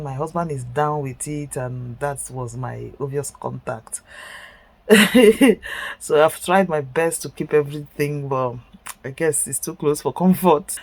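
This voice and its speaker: A woman's voice: sits low, darkish tone. A middle-aged woman vlogging from bed at home while sick, stuffy and chesty, hesitant with many fillers but staying upbeat. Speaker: vlogger, nurse. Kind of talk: casual story-time vlog.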